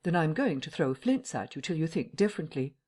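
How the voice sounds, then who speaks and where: A woman's voice: low voice, sing-song, a little fast. A woman voicing a stern invisible man. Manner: quiet, clipped and insistent. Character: impatient invisible man, firm and commanding. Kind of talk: audiobook character voice.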